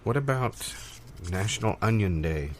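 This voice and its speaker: A meek job applicant, played by a man, speaks in a lowish voice with some noise behind it. He is halting, deadpan and doggedly unambitious, in an improvised audio comedy. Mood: earnest, deadpan.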